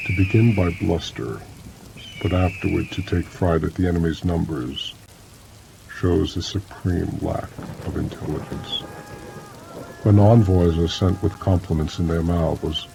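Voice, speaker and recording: A man narrates an audiobook with a very low voice full of gravelly fry. His delivery is measured and solemn, like formal dramatic reading. Clear rain-like noise sits behind him. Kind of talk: scripted dramatic reading of an ancient military treatise.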